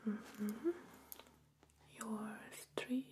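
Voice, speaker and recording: Young female, ASMR medic role: breathy soft whisper, unhurried and soothing, close-miked with audible noise. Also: fairly high pitch, fairly even intonation. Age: young adult. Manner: gentle, reassuring, unhurried. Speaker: ASMR performer playing a doctor. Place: small furnished room indoors.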